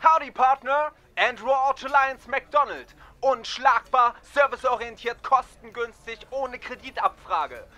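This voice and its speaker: Loud cheesy car dealer ad, super high bright voice, bombastic slogans. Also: male voice, unhurried, hardly any pauses, exaggerated voice. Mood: brash, salesy. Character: brash male used-car dealer in a commercial jingle-style pitch. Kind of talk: high-energy car ad pitch.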